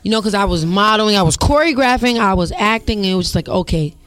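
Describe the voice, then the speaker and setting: A young woman singer with a deep voice, chatting casually in an interview in a rapid, slangy, emphatic way. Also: animated, a bit bright, soft background music. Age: young adult. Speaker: singer guest.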